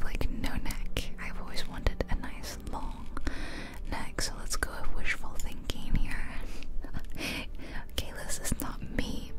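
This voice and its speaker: A woman doing ASMR game commentary in a whisper, casually musing aloud close to the microphone. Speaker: ASMR video creator. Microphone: close microphone, ear-to-ear ASMR.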